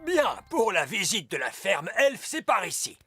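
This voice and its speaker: Fussy, slow-talking old elf. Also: female voice, medium pitch, very animated, creaky, fairly bright tone, faint music behind, full character voice. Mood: instructive. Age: older. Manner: schoolmasterly, fussy. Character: fussy, pedantic old male elf, wise farm guide. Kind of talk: French-dubbed children's cartoon acting.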